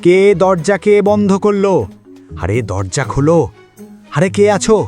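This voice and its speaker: A man acts out a villainous cartoon steward, scheming and full of bluster. His voice is pitched fairly high and swings dramatically up and down, stumbling over repeated words when he is flustered. Music plays faintly behind him. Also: big pitch swings, measured pace, darkish tone. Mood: angry, threatening. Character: scheming, blustering male estate steward who hoards grain. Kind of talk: melodramatic cartoon villain acting.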